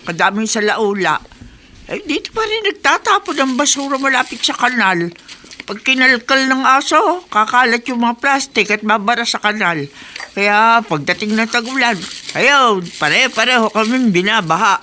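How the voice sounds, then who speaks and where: An actress playing a rude, prejudiced city neighbor who complains and drives a stranger away, in a radio drama. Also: female voice, on the high side, expressive, normal speed, slight background noise. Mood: annoyed. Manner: complaining, then shouting.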